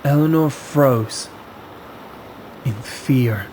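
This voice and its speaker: Very slow-speaking man narrating horror. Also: medium pitch, a little mellow, slight background noise, low-quality recording. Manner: deliberate, dramatic phrasing with frequent pauses. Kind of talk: scripted horror story narration.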